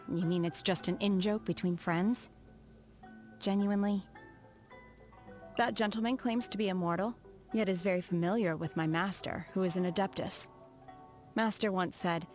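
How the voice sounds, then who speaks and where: A woman acts out a reserved young female apprentice of immortals for a video game. Her voice sits a little low, soft-spoken and formal, with measured, aloof delivery. Music plays behind the lines. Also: natural voice.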